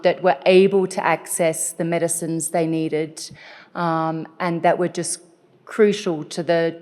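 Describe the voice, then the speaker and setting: A woman moderating a conference session, speaking slowly and haltingly with many fillers before introducing the next speaker. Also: on the low side, a little mellow. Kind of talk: spoken introduction. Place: large conference hall. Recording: echoey conference hall.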